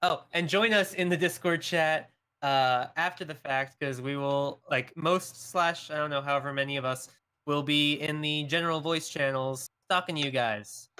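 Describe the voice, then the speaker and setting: A man voice actor making sign-off announcements on a casual live stream call, in an unhurried way. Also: a little high, steady tone, sharp tone, clear, resonant tone. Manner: unhurried, reminds viewers of announcements. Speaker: voice actor, team spokesperson. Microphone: video call.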